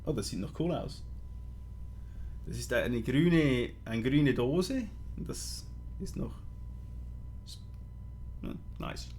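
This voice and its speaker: A man's voice: mid-range voice, big pitch swings, slow pace, pauses often, a little mellow, slight background noise. Chatty Swiss German man hosting a livestream. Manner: loose and chatty, with frequent fillers and self-corrections. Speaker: host of a product live stream. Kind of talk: casual live-stream chat, switching English and German.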